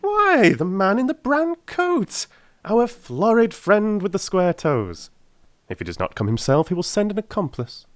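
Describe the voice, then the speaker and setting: A man's voice: sits high, very animated, unhurried, dry recording, clean studio sound, voice pushed up. A man voicing a brilliant, confident detective in a dramatised audiobook, with theatrical, emphatic delivery. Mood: assured, amused. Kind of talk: dramatised audiobook character acting.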